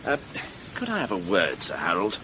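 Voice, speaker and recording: An actor playing a weary, dry-witted police inspector in a radio drama, clipped and mildly sardonic. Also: male voice, deep voice, huge pitch swings, moderate pace, pushed and bright, a little hiss or hum, heavily acted. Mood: stern, official.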